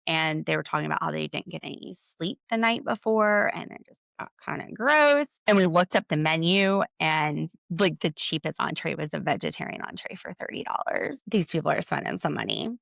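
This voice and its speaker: A woman recapping a show on her podcast, speaking with a lowish, gravelly voice full of vocal fry. Her tone is blunt and opinionated, with sarcastic jabs. The sound is dry and close, with no room around it. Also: expressive, normal speed, hardly any pauses, a bit bright, no room sound. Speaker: podcast host. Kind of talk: solo TV recap commentary.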